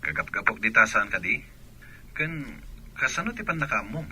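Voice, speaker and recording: An actor playing a gruff, evasive, two-timing soldier husband in an Ilocano radio drama, defensive and insistent. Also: male voice, medium pitch, very animated, normal speed, pushed and bright, heavily acted.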